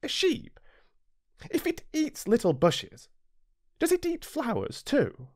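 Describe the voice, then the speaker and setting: Storybook little boy played by a grown man, British, very high and lilting, earnest, curious, wistful. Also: huge pitch swings, slow, no room sound, clean studio sound, exaggerated voice. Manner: earnest, questioning, gentle. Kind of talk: storybook character voice.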